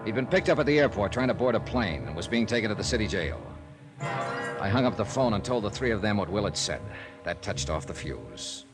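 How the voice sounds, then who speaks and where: An actor playing a tough, sardonic, relentless investigator in a 1950s radio drama, with hard-boiled, slangy delivery. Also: male voice, on the low side, big pitch swings, fairly quick, over music. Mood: wry, narrating. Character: tough, wisecracking, relentless male insurance investigator. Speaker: lead actor and narrator. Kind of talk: 1950s radio detective acting.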